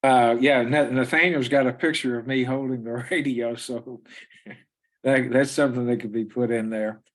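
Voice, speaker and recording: A man's voice: mid-range voice, fairly even intonation, normal speed. A man in an informal meeting discussion, speaking hesitantly with many fillers and restarts. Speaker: teleconference participant.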